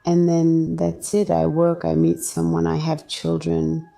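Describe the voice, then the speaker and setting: A woman raised in Belgium, speaking as an interview guest in a calm, matter-of-fact way. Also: sits low, measured pace, vocal fry, soft background music. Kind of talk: personal interview.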